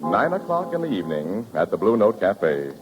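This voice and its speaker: Male old-time radio announcer, scripted and polished, highly animated, dull broadcast sound. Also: moderate pace, loud music behind the voice. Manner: polished, emphatic. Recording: muffled 1940s radio recording.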